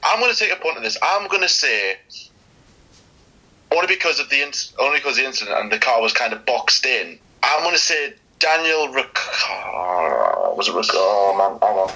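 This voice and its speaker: Man, quiz contestant, bright lively voice, middle pitch, thinking aloud. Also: fairly quick, poor audio. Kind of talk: casual chat, thinking aloud.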